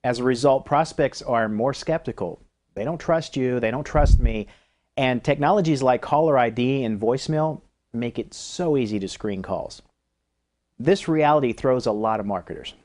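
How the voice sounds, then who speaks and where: A man's voice: medium pitch, normal speed, a little mellow. A man hosting an interview, delivering prepared introductions and questions. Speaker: host interviewer.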